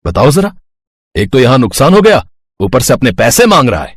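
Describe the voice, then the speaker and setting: A harsh middle-aged village landlord , voiced by a man in a cartoon story, scolding in a husky, arrogant tone. His voice sits at an ordinary male pitch. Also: very animated, normal speed, dry recording, studio quality. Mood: scornful.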